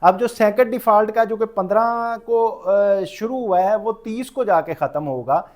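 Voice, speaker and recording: Pakistani guy teaching like a lecturer, slightly high voice, explains and repeats points. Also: unhurried, a little mellow. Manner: didactic, repeats and restates each point for emphasis. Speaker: tax lecturer. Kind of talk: explanatory lecture, reading rules in English and explaining them.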